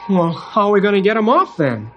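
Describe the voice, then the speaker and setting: A man's voice: sits high, big pitch swings, brisk, muffled recording. A man playing an eager, earnest young rescue sidekick in an old-time radio adventure. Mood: eager, questioning. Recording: echoey, noisy old radio recording.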